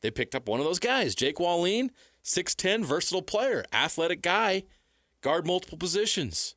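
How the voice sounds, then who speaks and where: A man's voice: high-pitched, very animated, normal speed, fairly bright tone, dry recording, clean studio sound. An American man co-hosting sports talk, giving analysis emphatically in short, repeated phrases. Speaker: sports radio co-host.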